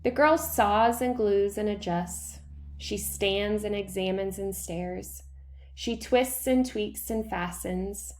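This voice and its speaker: A woman's voice: mid-range voice, slow pace, slight background noise. A woman reading a children's picture book aloud, telling it expressively and stressing key words. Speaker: read-aloud reader.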